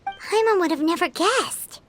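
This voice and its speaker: A woman voicing a small childlike fairy companion in a video game, squeaky, chirpy and easily baffled. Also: lively intonation, a little slow, slight background noise. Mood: curious, surprised. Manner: refers to herself in third person, exclaiming. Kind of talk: animated game voice acting. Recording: music behind the voice.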